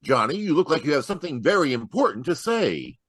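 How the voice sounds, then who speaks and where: A man's voice: a little high, very animated, a little fast, a bit bright, breathy edge, no echo, clean studio sound, heavily acted. An actor playing an upbeat old-time radio announcer with a polished salesman's delivery. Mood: upbeat, selling.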